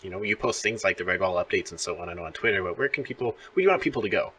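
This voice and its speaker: A man's voice: low voice, lively intonation, rapid-fire, bright, forceful tone, slightly rough. A man hosting a casual interview, talking like an enthusiastic fan. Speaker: interview show host. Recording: music playing behind the voice.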